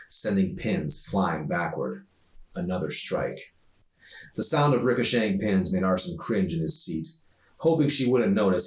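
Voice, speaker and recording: A man reading his own novel aloud as an author, in expressive audiobook narration. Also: low-pitched. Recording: echoey room, distant mic.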